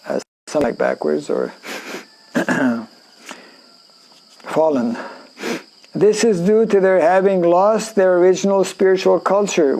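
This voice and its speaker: Slow, gravelly French Canadian man. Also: fairly high pitch, warm tone, low-quality recording. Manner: halting, with fillers and frequent throat clearing. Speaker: teacher reading and explaining scripture. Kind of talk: scripture class, reading a verse and commenting. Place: indoor class in a rural town.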